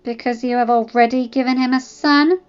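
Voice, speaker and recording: Screaming noble lady, venomous and scornful, high strained voice, dull muffled recording, faint music behind, as a woman reads her. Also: steady tone, moderate pace, clear, resonant tone, lightly acted. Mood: furious. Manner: shrieking insults, venomous. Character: furious noble lady, middle-aged, scornful.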